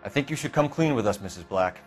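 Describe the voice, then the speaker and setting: An actor playing a stern American police detective who questions people in a clipped, formal, confrontational way, in a game drama. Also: male voice, fairly low pitch, animated, fast talker, faint music behind, lightly acted. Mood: accusing. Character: stern, accusing young male police detective. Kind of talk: dramatic game interrogation acting.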